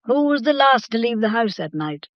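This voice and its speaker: An actress playing a sharp, unflappable elderly English grandmother, brisk and probing, in a radio mystery drama. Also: fairly high pitch, very animated, no echo. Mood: imperious, probing. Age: older. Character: sharp, unflappable old grandmother of a well-off household. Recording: dull, muffled lo-fi audio.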